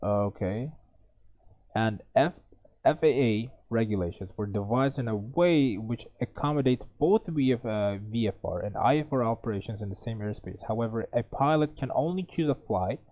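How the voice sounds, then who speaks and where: Halting man reading a test passage. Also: mid-range voice, animated, warm tone. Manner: halting, many restarts and self-corrections while reading. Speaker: IELTS tutor.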